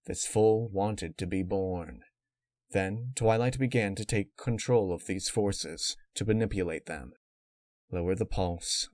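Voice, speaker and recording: A man reading scripted dark fan fiction as narrator, in a measured, dramatic way. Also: low voice, no room sound. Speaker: story narrator.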